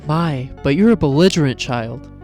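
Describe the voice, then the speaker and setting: A man voices a matronly goat woman who guards ancient ruins in a fantasy fan drama. The voice is soft and lifted a little high, genteel and fondly teasing. Music plays underneath. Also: lively intonation, normal speed. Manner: genteel, proper, fond. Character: tall motherly female goat guardian, gentle and teasing. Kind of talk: fan fiction character acting. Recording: music behind the voice.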